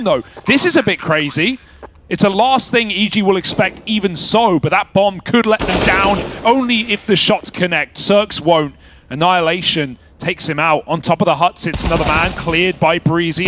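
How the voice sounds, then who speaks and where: A man giving live esports play-by-play and analysis in an animated, emphatic way. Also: sits high, background noise. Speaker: esports commentator.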